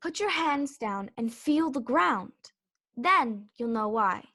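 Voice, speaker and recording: A haughty tiger lily acted by a woman bosses everyone around in a children's storybook play. Her voice is high and swings widely in pitch, imperious and scolding. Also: animated, slow pace, pushed and bright, heavily acted. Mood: haughty, lecturing. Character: bossy, haughty female talking Tiger Lily flower. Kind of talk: children's readers theater read-aloud.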